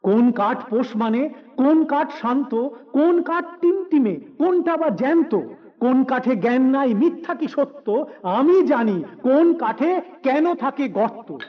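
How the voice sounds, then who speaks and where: A man's voice: very high-pitched, expressive, a little slow, dull audio, heavily acted. A man voicing an eccentric, pompous old self-styled scholar, cranky and scornful, in nonsense verse. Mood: boastful. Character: eccentric cranky bald bearded old male pseudo-scholar. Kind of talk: rhymed nonsense poetry recitation.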